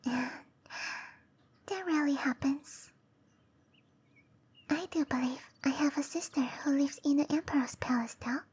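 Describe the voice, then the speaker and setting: A woman voicing a shy, gentle young cherry blossom dryad in a breathy, hesitant whisper for roleplay ASMR. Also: high voice, measured pace, pauses often. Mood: gentle, wistful. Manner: hesitant, bashful, softly spoken. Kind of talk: roleplay ASMR, intimate and slow.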